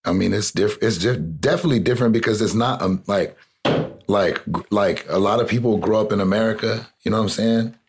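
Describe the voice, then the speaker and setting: A man's voice: lowish voice, fairly quick, slight background noise. A young man from South Texas, a guest in a casual interview, rambling with lots of "like" fillers and swearing.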